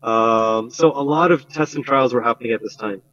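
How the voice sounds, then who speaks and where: A man on a community panel telling a personal story in an earnest, halting way with many fillers. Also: mid-range voice, fairly bright tone, dull audio.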